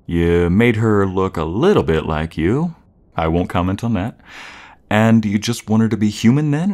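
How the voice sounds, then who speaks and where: Man playing a low-voiced, animated dorky boyfriend. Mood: eager, playful. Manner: playful, teasing, enthusiastic, stammering and giggly. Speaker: audio roleplay performer. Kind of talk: intimate boyfriend roleplay, casual improvised-sounding chat.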